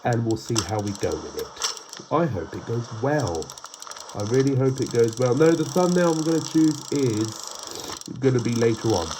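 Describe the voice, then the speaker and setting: A man with a stuffy nose hosting his gaming channel, rambling through gameplay commentary with lots of fillers, restarts and sudden excited outbursts. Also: medium pitch, normal speed, noisy background.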